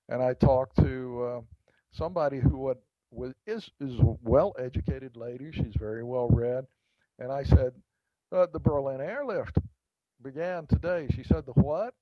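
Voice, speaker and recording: A man's voice: medium pitch, no room sound. Reflective man telling a story, muffled line. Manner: reflective, with pauses and restarts. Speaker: author guest. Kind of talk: interview storytelling.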